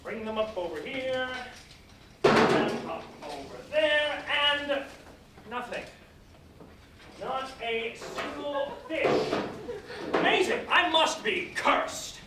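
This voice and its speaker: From far off in a very reverberant, noisy room comes a weary old fisher, played by a stage actor, grumbling theatrically. The high voice swoops dramatically and draws out its exclamations and complaints. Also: expressive, exaggerated voice. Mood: weary, frustrated. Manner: theatrical, exclamatory, drawn-out delivery with sighs. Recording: very echoey hall, distant mic, noisy.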